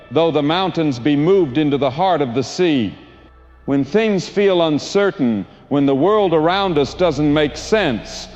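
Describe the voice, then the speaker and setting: A man's voice: fairly high pitch, a little mellow. A man playing an earnest, reassuring church pastor delivering a sermon. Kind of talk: sermon acting. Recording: reverberant dull church sound, faint music.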